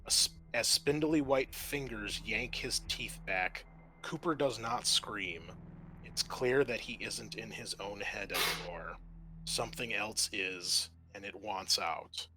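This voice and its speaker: A man's voice: lowish voice, slow pace, noisy background. A man acting as game master, narrating a horror tabletop roleplaying game.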